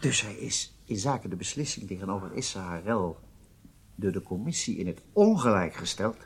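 A man's voice: lowish voice, big pitch swings, normal speed, husky, heavily acted. An actor playing a frank, questioning male intelligence colleague in a radio drama, direct, informal and probing. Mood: concerned.

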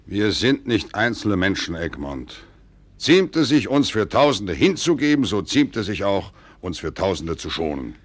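An actor playing a grave, shrewd, wary prince in a classical German radio drama, with deliberate, weighty declamation. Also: male voice, on the low side, sing-song, no echo. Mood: firm, solemn.

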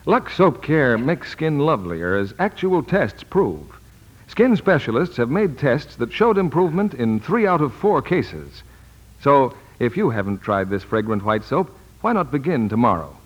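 An animated man announces a scripted advertisement in 1940s radio style. His pitch moves freely, and the broadcast recording sounds dull. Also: measured pace. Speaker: commercial announcer.

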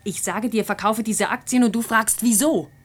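Woman acting a husky, grave, resolute wife. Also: a little high, moderate pace, close to an everyday voice. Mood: insistent. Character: professor's wife, grave, resolute, burdened by foreknowledge. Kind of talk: German radio play acting.

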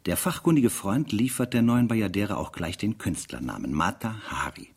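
A man's voice: fairly low pitch, sing-song, moderate pace, darkish tone, slightly rough, full character voice. An actor playing a sardonic, weary male investigator who narrates a spy case theatrically in a radio play. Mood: ironic storytelling. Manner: sardonic, theatrical, rhetorical asides.

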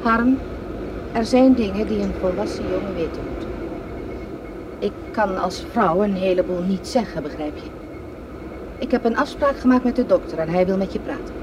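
A woman's voice: medium pitch, moderate pace, long pauses, close to an everyday voice. An actress playing a prim, embarrassed woman giving delicate advice in a radio drama. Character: prim, embarrassed woman advising a grown boy. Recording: radio play with clear background noise.

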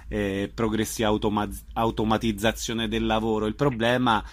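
A man interviewing a guest conversationally, phrasing questions in a measured, somewhat hesitant way. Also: on the low side, little pitch movement. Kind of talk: conversational radio-style interview.

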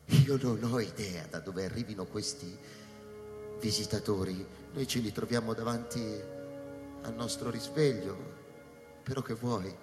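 An actor as a cynical, weary scientist, deep reverb. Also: male voice, a little slow, close to an everyday voice. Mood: grave, insistent. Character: cynical, weary male space-station scientist. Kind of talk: radio drama dialogue. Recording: reverberant hall with music behind.

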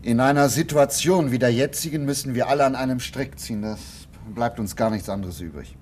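An actor playing an earnest, thoughtful scientist in a German radio play, speaking in a deliberate way. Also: male voice, medium pitch, darkish tone, lightly acted. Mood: reflective. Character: earnest male scientist doctor, resolute, protective, thoughtful. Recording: radio play with background noise.

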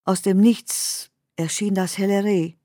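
A woman performs a female narrator of dreamlike radio drama. She recites surreal literary prose slowly and deliberately, at a middle pitch. The recording is dry and close. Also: steady tone, warm tone, dry recording, natural voice. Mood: measured, poetic.

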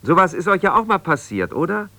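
Forceful, strained, placating husband. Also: male voice, high voice, very animated, dry recording, full character voice. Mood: soothing, strained. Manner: halting, repeats himself, reassuring yet exasperated. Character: ambitious lawyer husband, evasive, placating, strained, newly separated. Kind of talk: naturalistic 1970s German radio drama, one-sided phone calls.

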